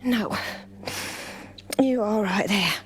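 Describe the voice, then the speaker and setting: A woman's voice: mid-range voice, drawn-out words. An actress playing a condescending British female crime boss in a video game, taunting with falsely soothing endearments. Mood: falsely sweet, menacing. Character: menacing female crime boss trafficking people, mockingly tender. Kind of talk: dramatic video game acting.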